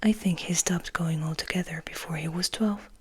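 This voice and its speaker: ASMR reader: woman, lowish pitch, very breathy whisper, gentle unhurried storytelling. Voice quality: breathy, mostly unvoiced whisper. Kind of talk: soft whispered ASMR audiobook reading.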